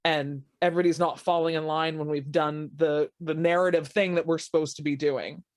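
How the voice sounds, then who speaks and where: A woman's voice: low-pitched, steady tone, brisk, no room sound, clean studio sound. A woman playing a tabletop roleplaying game, talking casually about her character in an emphatic, wry way. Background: music.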